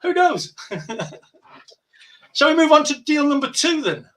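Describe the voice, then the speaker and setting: A man's voice: very high voice, big pitch swings, unhurried, fairly bright tone. A man presenting whisky deals in a chatty live stream, rambling with lots of fillers and restarts. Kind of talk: casual live-stream sales chat.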